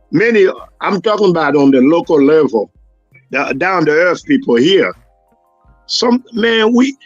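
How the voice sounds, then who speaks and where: A man's voice: a little high, dry recording, highs cut off. A man from Haiti with a Haitian accent, hosting a talk show and speaking earnestly about love. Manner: earnest and preachy. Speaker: host. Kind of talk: casual chat.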